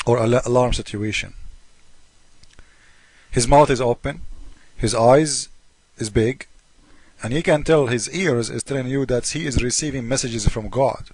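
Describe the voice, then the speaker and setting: A man's voice: on the low side, no room sound. A man who speaks English as a second language gives informal polemical commentary on scripture, repeating himself in a mocking, theatrical way and acting out both sides of arguments. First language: not English. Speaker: host of a religious polemic video.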